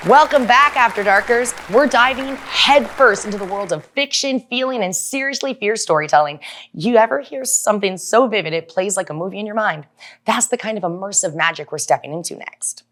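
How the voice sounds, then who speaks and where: A woman's voice: mid-range voice, fairly quick, fairly bright tone, a little hiss or hum. A woman hosting a talk show, gushing and slangy as she introduces and chats with guests. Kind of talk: hyped scripted introduction and chat.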